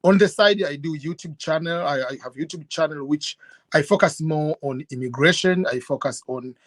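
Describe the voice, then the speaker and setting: A middle-aged man from Tanzania, a guest telling his story in an interview in an earnest, measured way. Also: a little high, no echo, clean studio sound. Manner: earnest, measured, with fillers and restarts. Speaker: guest YouTuber.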